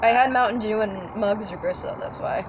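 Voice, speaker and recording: A woman's voice: mid-range voice, normal speed, background noise. A teenage girl chatting casually about school, rambling with lots of fillers and apologies. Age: teens. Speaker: student co-host. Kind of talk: casual chat.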